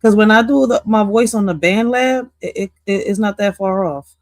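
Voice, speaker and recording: A woman, hosting a casual livestream chat in a laid-back, halting way, laughing easily. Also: a little mellow, dry recording, clean studio sound. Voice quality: deep voice. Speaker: livestream host.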